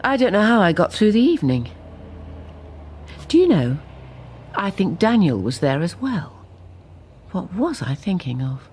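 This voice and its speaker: A woman's voice: fairly low pitch, very animated, a little slow, pauses often, darkish tone, a little hiss or hum. Rueful, reflective woman, background ambience. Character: reflective woman looking back ruefully on her troubled marriage. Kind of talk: radio drama monologue.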